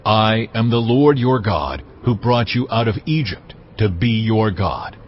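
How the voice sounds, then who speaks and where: A man playing God in a dramatised scripture reading, solemn, commanding and measured as he gives laws. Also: fairly low pitch, animated, slight background noise. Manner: measured, authoritative, deliberate. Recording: slightly dull audio with music behind. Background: background music.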